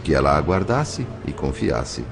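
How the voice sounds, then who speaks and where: A man with a Brazilian accent narrating an audio novel in a solemn, measured, dramatic storytelling style. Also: a bass voice, big pitch swings, vocal fry. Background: music.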